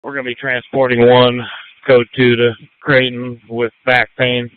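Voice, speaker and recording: A man's voice: mid-range voice, monotone, a little slow, clear, resonant tone, no echo. A man on an ambulance crew giving terse, procedural updates over a narrow two-way radio channel. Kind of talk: radio traffic status reports.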